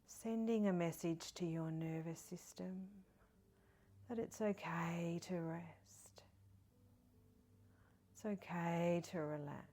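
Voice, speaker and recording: A woman leading a guided meditation in a soft, breathy, partly whispery voice, soothing and gentle with long pauses. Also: on the low side, fairly even intonation. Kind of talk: guided body scan meditation.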